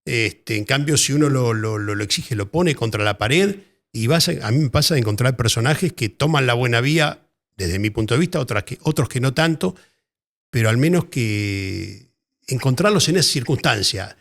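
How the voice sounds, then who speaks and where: Argentine guy, gravelly voice, chatting about his writing, lots of restarts and repeats. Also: mid-range voice, animated, moderate pace. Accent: Argentine Spanish. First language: Spanish. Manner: thoughtful, restarts and repeats words while searching for phrasing. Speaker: writer, interview guest. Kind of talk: casual interview conversation.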